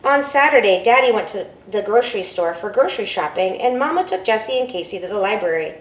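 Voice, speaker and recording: A woman, a school principal, reading a picture book aloud in an expressive storytelling way. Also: mid-range voice, fast talker. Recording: roomy, from a distance, faint ambience.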